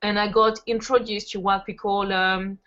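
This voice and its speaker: A woman's voice: mid-range voice, level tone, normal speed, fairly bright tone. A woman in her thirties from France, chatting in a casual interview as a guest and telling stories with frequent fillers. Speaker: interview guest, network marketer. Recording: dull lo-fi audio.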